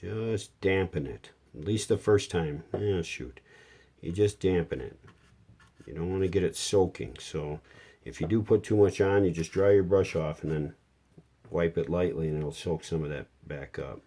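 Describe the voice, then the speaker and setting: A deep, mellow-voiced man explaining hands-on work. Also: normal speed. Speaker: radio repair hobbyist giving a tutorial. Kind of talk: casual hands-on how-to narration.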